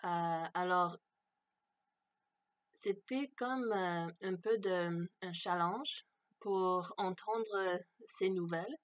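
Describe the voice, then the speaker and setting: A woman for whom French is not her first language, speaking unscripted to camera, hesitant and searching for words with many fillers. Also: lowish voice. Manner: slow, thoughtful. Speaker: vlogger. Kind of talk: unscripted personal talk to camera.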